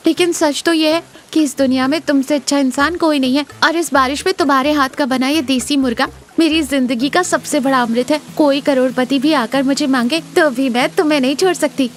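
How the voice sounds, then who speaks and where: A woman voicing a sharp-tongued village wife in a cartoon story, scolding and exasperated but secretly loving. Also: high-pitched, fairly even intonation, brisk, hardly any pauses, voice pushed up, natural voice. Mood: tender confession.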